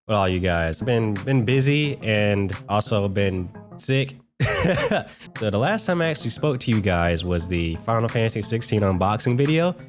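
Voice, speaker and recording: A man's voice: on the low side, huge pitch swings, normal speed, faint music behind. A man giving an unscripted, casual game review as host, informal and rambling with self-corrections, slightly under the weather with a cold. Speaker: gaming video host and reviewer.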